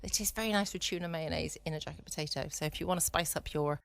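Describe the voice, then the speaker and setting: A woman's voice: deep voice, fast talker, gravelly, fairly bright tone. A woman hosting a bedtime podcast in a chatty, rambling, understated way. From United Kingdom. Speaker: podcast host. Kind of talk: casual bedtime chat. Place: at home, close and dry.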